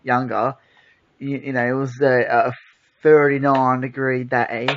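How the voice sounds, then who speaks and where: A man's voice: mid-range voice, flat delivery, a little slow, noisy background. A young Australian man co-hosting a casual podcast, telling stories loosely with swearing and fillers. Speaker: podcast co-host. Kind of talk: casual chat. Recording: dull lo-fi sound.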